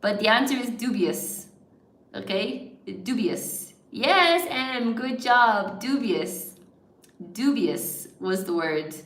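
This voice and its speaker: A woman's voice: a little high, expressive, slow pace, a bit bright. An Irish woman, an English teacher, hosting a live word game for her viewers, chatty and encouraging, laughing often and greeting people by name. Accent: mild Irish, largely neutral. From Ireland. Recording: echoey room.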